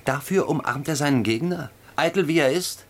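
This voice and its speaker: Actor as a sly, cynical courtly tutor. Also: male voice, on the high side, normal speed, a little hiss or hum, lightly acted. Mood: amused contempt. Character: cynical, dry courtly tutor needling his pupil. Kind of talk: radio play acting.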